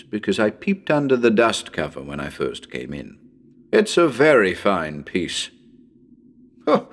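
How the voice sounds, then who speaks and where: A man playing a chatty, wryly uneasy radio broadcaster reporting from a haunted house in a dramatic horror reading. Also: fairly low pitch. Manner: conversational, wry, hesitant.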